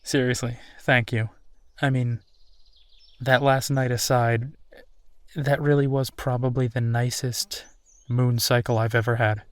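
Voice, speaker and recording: A man playing an easygoing, playful werewolf boyfriend in a roleplay audio, talking casually and haltingly with a self-deprecating streak. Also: medium pitch, flat delivery, clear, resonant tone, no room sound, natural voice. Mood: fond, grateful. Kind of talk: intimate boyfriend roleplay audio, improvised-sounding one-sided conversation.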